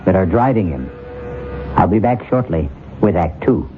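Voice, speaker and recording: A man hosting and narrating a radio mystery show with a slow, ominous delivery. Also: deep voice, lively intonation, narrow, tinny audio. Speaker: host narrator. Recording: old radio broadcast over music.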